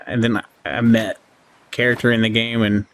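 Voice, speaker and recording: Male game reviewer, lowish pitch, casual and rambling delivery, faintly noisy recording. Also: steady tone, moderate pace, fairly bright tone. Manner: rambling, thinking aloud, chuckles often. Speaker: gaming channel host. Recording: clearly audible background noise.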